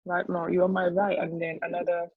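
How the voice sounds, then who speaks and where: A woman's voice: fairly low pitch, fairly quick, husky, dry recording, narrow, tinny audio. A young woman from Nigeria with a Yoruba Nigerian accent, a podcast guest telling a story casually with lots of fillers. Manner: animated storyteller, many fillers, laughs often. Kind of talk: casual chat.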